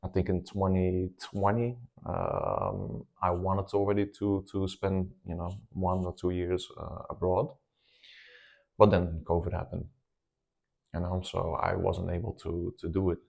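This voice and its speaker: Deep gravelly man, halting. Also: darkish tone. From Netherlands. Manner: hesitant, many ums and restarts. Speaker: guest. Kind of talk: casual interview talk.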